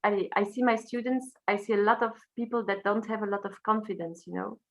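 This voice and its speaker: A woman from Brussels with a Belgian French accent, a native French speaker talking casually as an interview guest in halting English, searching for words. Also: medium pitch, steady tone. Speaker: interview guest, singer and teacher.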